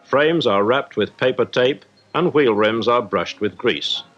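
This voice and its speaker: A man's voice: mid-range voice, a little slow. A man with a British accent giving a formal, explanatory scripted report as a 1950s newsreel correspondent. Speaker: industrial correspondent. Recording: dull 1950s newsreel soundtrack, music behind. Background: music.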